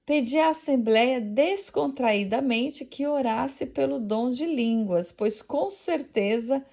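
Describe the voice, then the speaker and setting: A woman with a Portuguese accent giving a measured, devout religious reflection read from a script. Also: sits high, gravelly, warm tone.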